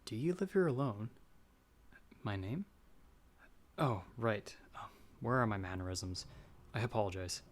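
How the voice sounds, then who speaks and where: A man's voice: fairly low pitch, expressive, a little slow, pauses often. A man playing a humble young farmer's son in an audio roleplay, speaking hesitantly with courteous, old-fashioned formal phrasing. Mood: awed, shy. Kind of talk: ASMR audio roleplay acting.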